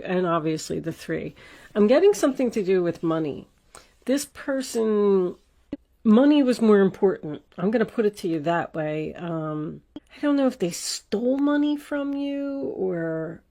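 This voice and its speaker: A woman's voice: medium pitch, warm tone. A woman reading tarot cards informally for the viewer, talking in a conversational, emphatic and reassuring way. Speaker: tarot reader, host of an online reading.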